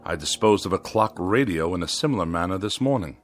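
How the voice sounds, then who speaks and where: An actor playing a pompous, irascible writer who despises machines, speaking in wordy, sneering sarcasm in a radio drama. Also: male voice, deep voice, animated, fairly quick. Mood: contemptuous.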